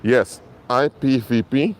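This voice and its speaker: Brief: male minister, Papiamento and Dutch native, earnest street live-stream preacher, mid pitch, faint traffic behind. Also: a little slow. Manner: earnest, repetitive, addresses viewers directly. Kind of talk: outdoor live-stream sermon. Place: outdoors in an urban area. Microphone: mobile phone.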